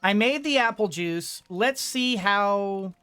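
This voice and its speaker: Unhurried woman gamer thinking aloud. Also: mid-range voice, a bit bright, clear, resonant tone, soft background music. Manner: thinking aloud, hesitant phrasing. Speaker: gaming streamer. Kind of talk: casual let's play commentary.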